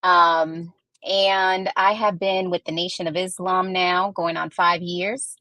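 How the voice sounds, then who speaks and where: A woman from Puerto Rico speaks as a podcast guest, with a lowish voice for a woman. She talks in a measured way and explains things step by step. Her voice is recorded dry and close. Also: level tone, bright, forceful tone, dry recording. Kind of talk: conversational interview answers.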